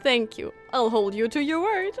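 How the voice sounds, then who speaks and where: A woman's voice: a little high, moderate pace. A young woman streamer giving casual, gushing live commentary on a video game. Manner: excitable, gushing, giggly. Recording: game music behind the voice.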